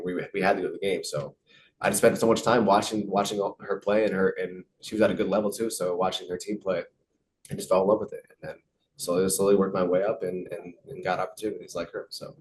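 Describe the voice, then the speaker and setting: A man's voice: low-pitched, level tone, fast, darkish tone, a little hiss or hum, poor audio. A young American man, a professional soccer player, answering interview questions casually, with many fillers and repeated words. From United States.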